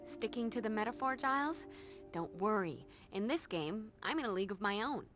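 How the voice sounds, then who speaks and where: Woman acting a young American vampire hunter, quippy, confident, mid-pitched, video game, clear music behind. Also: animated, normal speed, fairly bright tone, lightly acted. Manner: quippy, sarcastic. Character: wry, confident young female vampire slayer. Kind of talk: video game cutscene dialogue.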